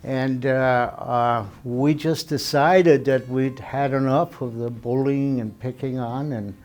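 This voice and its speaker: Unhurried older Danish-born man recalling. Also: medium pitch, fairly even intonation. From Denmark. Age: 70s. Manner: unhurried, conversational storytelling with frequent fillers. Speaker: interviewee. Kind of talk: oral history interview. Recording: soft guitar music underneath.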